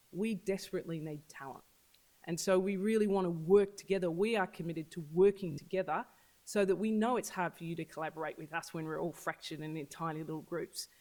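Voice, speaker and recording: An agtech business leader giving an earnest launch speech to an audience at an event. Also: poor audio. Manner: earnest, pitching, repeats for emphasis. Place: event venue.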